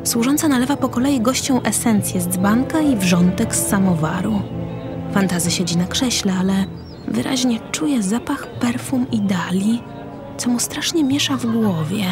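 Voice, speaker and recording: A woman's voice: a little high, expressive, moderate pace. Woman narrating an audio drama over music. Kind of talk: scripted audio drama narration of stage action. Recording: music under the voice.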